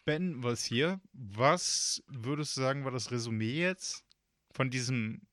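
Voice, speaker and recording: A man's voice: medium pitch, measured pace, a bit bright, no echo, clean studio sound. A man hosting a casual podcast, rambling and joking with lots of fillers. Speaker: podcast host. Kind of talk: casual chat.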